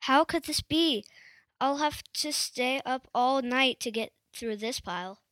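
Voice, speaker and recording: Worried schoolboy played by a female amateur, highish voice, readers' theatre, close and dry. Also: unhurried, fairly bright tone, no room sound. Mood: bewildered. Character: anxious schoolboy overwhelmed by homework.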